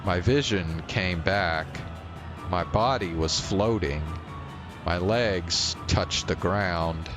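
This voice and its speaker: Man, low-pitched, slow delivery, dramatic first-person game narration, measured phrasing. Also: over music. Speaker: streamer reading a visual novel aloud.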